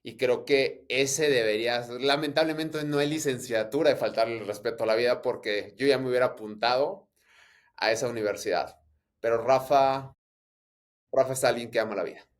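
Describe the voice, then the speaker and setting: A man's voice: medium pitch, moderate pace. A Mexican man in his thirties with a Mexican Spanish accent, talking as a guest in an interview in a reflective, open way. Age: 30s. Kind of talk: casual interview conversation.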